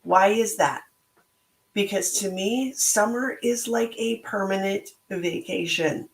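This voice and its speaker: A middle-aged woman with a slightly hoarse voice, hosting a reflective solo talk in a slow, thoughtful, motivational way. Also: mid-range voice, roomy sound, poor audio. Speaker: podcast host and book club organizer.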